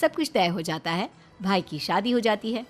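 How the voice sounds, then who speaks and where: A woman narrating a cartoon story in an animated storytelling style. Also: mid-range voice, normal speed.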